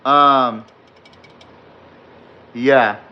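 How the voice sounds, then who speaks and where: A man hosting a late-night sports podcast with a slightly hoarse voice, rambling with many fillers while reading and answering chat comments. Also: medium pitch, steady tone, very slow, dull audio. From Texas fan, not necessarily from Texas. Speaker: podcast host. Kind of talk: live-streamed sports podcast recap.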